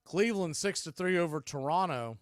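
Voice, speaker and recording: A man doing live radio-style baseball play-by-play as the announcer, making clipped pitch calls in short phrases. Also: expressive, fairly quick, no echo, studio quality.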